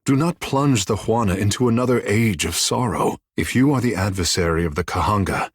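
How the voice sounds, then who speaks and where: Young proud godlike from a fantasy game, lowish husky voice, talks all formal and poetic. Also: male voice, lively intonation, a little fast, no echo, clean studio sound. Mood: solemn, proud. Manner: formal, lyrical, theatrical phrasing. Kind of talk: video game character dialogue.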